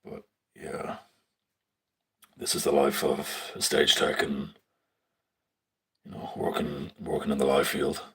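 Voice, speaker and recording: Young man, very deep husky voice, flat delivery, casual rambling tutorial with swearing, phone mic. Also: slow pace, pauses often. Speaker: stage tech student. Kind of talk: casual live screen walkthrough. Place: indoors at a screen. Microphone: phone filming a screen.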